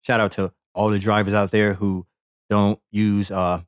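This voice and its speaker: A man's voice: on the low side, level tone, a little slow, smooth, no echo. A man hosting a sports podcast, telling a playful, boastful story in a rambling comedic monologue with lots of repetition.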